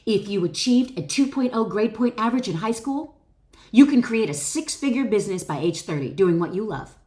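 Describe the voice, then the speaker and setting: A woman's voice: mid-range voice, lively intonation, brisk, warm tone. Female author-narrator, wellness educator type, mid-range voice, emphatic and motivational, reading self-help material with stressed key phrases. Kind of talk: expressive self-help book reading with spoken asides.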